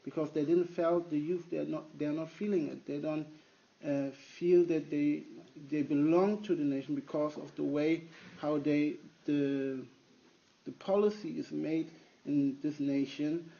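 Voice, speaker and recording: A man who works as a youth pastor, answering at length in a halting way with frequent restarts. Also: a little high, fairly even intonation, gravelly, mellow. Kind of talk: conversational interview answer. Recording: dull lo-fi recording.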